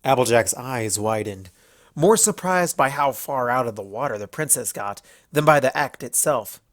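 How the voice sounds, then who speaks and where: A man reading scripted fan fiction as narrator, telling the story in an expressive way. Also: medium pitch, moderate pace, no room sound, clean studio sound.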